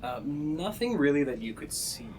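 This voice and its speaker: A man running a tabletop game, improvising scene descriptions in a halting way as he searches for words. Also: a little high, big pitch swings, darkish tone, a little hiss or hum. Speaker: game master.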